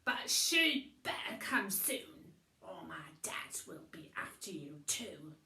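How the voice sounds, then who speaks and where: Woman voicing a brash, shouty young beast boy. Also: fairly low pitch, sing-song, slow, vocal fry, roomy sound, pitched below the performer's own voice, full character voice. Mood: threatening, gruff. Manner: bossy, snorting, indignant.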